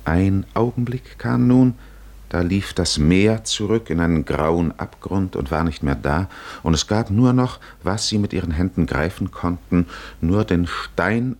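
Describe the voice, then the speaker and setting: Deep, very slow solemn male narrator. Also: creaky, darkish tone. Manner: solemn, measured, with deliberate pauses. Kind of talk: literary radio drama narration, 1950s.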